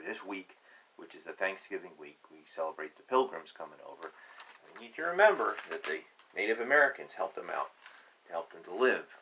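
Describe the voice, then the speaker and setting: Bright-voiced man reading a picture book aloud. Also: low voice, slight background noise, rough recording. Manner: teacherly, simple explaining with emphatic letter announcements. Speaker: reader of a children's picture book. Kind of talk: read-aloud with explanatory asides.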